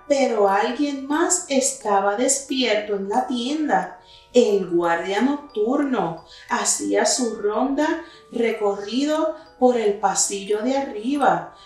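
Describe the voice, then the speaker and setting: A young woman reads a children's story aloud in a high voice, unhurried and expressive. She sounds set back from the microphone in a very echoey room. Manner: slow, deliberate storytelling. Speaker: children's story reader, teacher. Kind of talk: expressive picture-book read-aloud for children. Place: indoor room with wooden cabinet and plants. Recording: very echoey room, distant mic, faint music.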